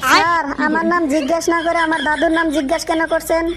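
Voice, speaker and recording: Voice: very high voice, flat delivery, fast, pushed and bright. A performer voicing a cheeky, naive schoolchild in a comic cartoon, pleading and earnest in manner. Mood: eager, cheeky.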